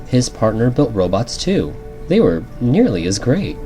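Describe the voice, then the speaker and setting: A man's voice: mid-range voice, sing-song, measured pace, warm tone. A man narrating a rhyming bedtime storybook as a storyteller, in an ASMR style. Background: background music.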